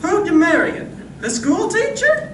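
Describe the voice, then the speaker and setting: A woman's voice: very high-pitched, big pitch swings, measured pace, heavily acted. A woman playing a dim, boastful male cowpoke deputy in a comic old-time radio Western, silly and swaggering. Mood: cheerful. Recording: distant, echoey, noisy live stage recording.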